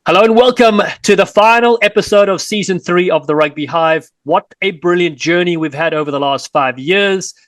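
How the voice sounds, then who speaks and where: Chatty South African man, higher voice. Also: normal speed, hardly any pauses, no room sound. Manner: chatty, energetic, playful teasing. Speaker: podcast co-host and rugby commentator. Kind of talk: upbeat podcast hosting.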